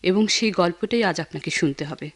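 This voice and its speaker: An actress with a Bangladeshi accent playing a caring, persistent young family friend in a radio drama, with earnest, emphatic theatrical delivery. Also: female voice, on the low side, normal speed. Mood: earnest, insistent. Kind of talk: Bengali radio drama acting.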